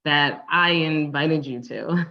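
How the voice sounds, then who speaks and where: A woman's voice: deep voice, steady tone, slow, muffled recording. A woman playing a confrontational, wounded ex-girlfriend in a conversational table read, pointed and sarcastic. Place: online live stream table read.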